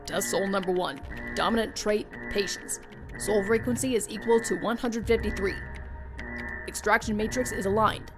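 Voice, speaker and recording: A woman's voice: medium pitch, steady tone, normal speed, natural voice. A woman playing a dutiful, businesslike laboratory assistant in a comic dub, announcing procedures in clipped phrases. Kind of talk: dramatic comic dub acting. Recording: prominent music behind voice.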